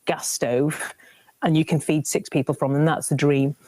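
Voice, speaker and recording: A woman, a cookery presenter telling stories in an animated way with many restarts during a radio interview. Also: low voice, normal speed, no echo. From Lancashire, England. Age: 50s.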